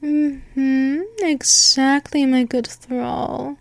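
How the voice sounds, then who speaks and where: Woman as vampire mistress for a hypnosis roleplay: soft, coaxing, unhurried, higher voice, bone-dry close sound. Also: little pitch movement, gravelly, no echo, heavily acted. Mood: seductive, possessive. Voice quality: soft, slow, hypnotic, with drifting whispers. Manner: coaxing, repetitive, counts down. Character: seductive female vampire mistress, possessive and commanding.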